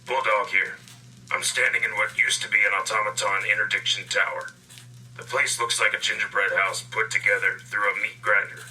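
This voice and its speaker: A man acting as a gruff frontline reporter in a sci-fi radio play. His voice is low and sits away from the mic, with clipped, deadpan, wry delivery as he files reports from the battlefield. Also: normal speed, far from the mic, rough recording. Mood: grim, wry. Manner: terse, deadpan. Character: gruff, wry male frontline war correspondent. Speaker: field correspondent. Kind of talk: radio drama field report.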